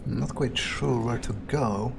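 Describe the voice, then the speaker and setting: A man's voice: medium pitch, fairly even intonation, measured pace, creaky, background noise. A man roleplaying an irritable, foul-mouthed male vampire in a video game, musing aloud in a hesitant way. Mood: musing, wary. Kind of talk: in-character roleplay commentary over gameplay. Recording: music behind the voice. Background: game music.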